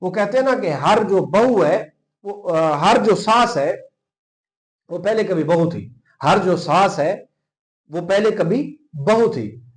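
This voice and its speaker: A man with a Pakistani accent lecturing as a teacher, didactic, repeating key phrases and answering his own questions. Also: high voice. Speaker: teacher, exam coach. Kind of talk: explanatory law lecture to students.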